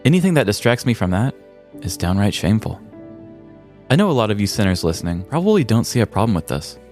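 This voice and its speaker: Low-voiced American man telling comic stories. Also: sing-song, fast talker, darkish tone, faint music behind. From United States. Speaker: podcast host. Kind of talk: scripted comedic story narration.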